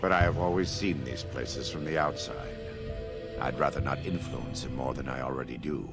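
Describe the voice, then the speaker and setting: An actor playing a devout, scarred former warlord in a video game, speaking in a solemn, measured, preacher-like way with a gravelly voice. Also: male voice, over loud music. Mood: reserved. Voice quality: very deep, gravelly. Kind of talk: video game character dialogue.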